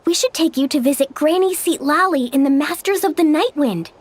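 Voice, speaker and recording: A woman's voice: sits high, fairly quick, close to an everyday voice. A woman voicing a bubbly, big-hearted, party-loving young girl in an anime-style game, breezy and playfully bossy. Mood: breezy, encouraging. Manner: breezy, playful, take-charge.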